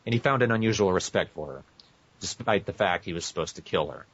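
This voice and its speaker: A very low-pitched man reads fan fiction aloud in a casual podcast style, stumbling over words and correcting himself. The recording is dull and lo-fi. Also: expressive, quick pace, slightly rough. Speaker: podcast co-host. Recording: muffled, echoey lo-fi podcast audio.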